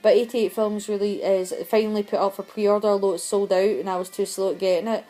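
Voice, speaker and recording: A woman's voice: mid-range voice, fairly even intonation, fast talker, mellow, soft background music. A young woman who is losing her voice, chatting casually and rambling about films she bought. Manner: chatty, rambling, self-deprecating. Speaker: vlogger showing film purchases. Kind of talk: casual chat.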